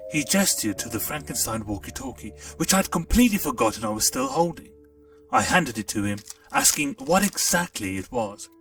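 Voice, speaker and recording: Gravelly man narrating a story. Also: fairly high pitch, moderate pace. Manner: expressive, storytelling delivery. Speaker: audiobook narrator. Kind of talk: first-person storybook narration. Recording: distant mic, faint music.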